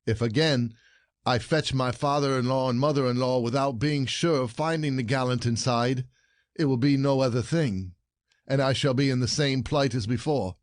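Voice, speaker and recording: An actor playing an aggrieved, scheming husband in a classic stage farce, declaiming formally and indignantly. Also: male voice, mid-range voice, normal speed, dry recording, studio quality, natural voice. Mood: brooding. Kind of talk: classic comedy audio play acting.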